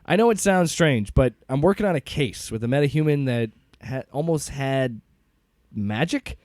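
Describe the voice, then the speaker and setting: A man's voice: medium pitch, lively intonation, normal speed, a little mellow, no echo, studio quality, natural voice. A man playing a blunt, exasperated intelligence agency director in conversational audio drama acting. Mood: earnest, weary.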